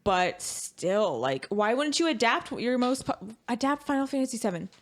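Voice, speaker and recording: A woman's voice: fairly high pitch, very animated, normal speed. Casual woman podcast co-host chatting. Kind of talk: casual film review chat.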